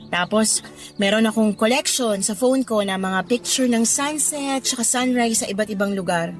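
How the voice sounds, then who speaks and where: A woman's voice: on the high side, moderate pace, lightly acted. An actress playing a discontented, flirty mother of thirty who confides in a Filipino accent, in a conversational radio drama style. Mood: wistful, chatty. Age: 30s. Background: background music.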